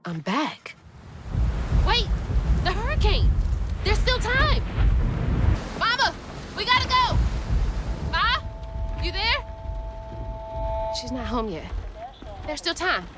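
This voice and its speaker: A woman's voice: very high voice, huge pitch swings, slow pace, long pauses, exaggerated voice. An actress playing a determined, anxious young Southern US woman searching for her mother in a video game, earnest and emotionally open. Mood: anxious, urgent. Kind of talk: cinematic video game acting with reflective narration. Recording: music behind the voice.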